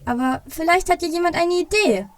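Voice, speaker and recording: Santa's helper elf, played by a woman: high, commanding, concerned, dryly recorded for a Christmas audio play. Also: a little fast, no echo. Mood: worried. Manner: commanding, exclaiming. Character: bossy, worried helper elf guarding Santa's door.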